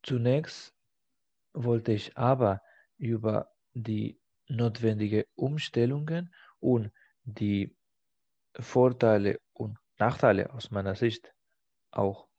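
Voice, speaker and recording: Slow, halting male podcast host. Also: mid-range voice, dark tone, highs cut off. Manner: slow and halting, searching for words. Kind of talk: language-learning podcast with mock exam talk.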